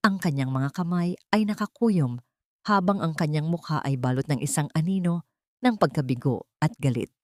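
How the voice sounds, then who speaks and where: Female narrator, Filipino accent, lower register, dramatic scripted storytelling, dry close recording. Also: a little mellow, dry recording.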